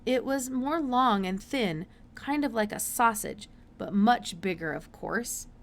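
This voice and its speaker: A woman's voice: fairly high pitch, a little slow, slight background noise. Woman reading as an earnest, plain-spoken farm boy.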